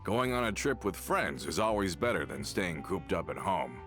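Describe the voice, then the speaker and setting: A loyal gang member speaks in a relaxed, friendly way. His low voice moves up and down with life, and faint game music plays behind. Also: moderate pace. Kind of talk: video game voice acting.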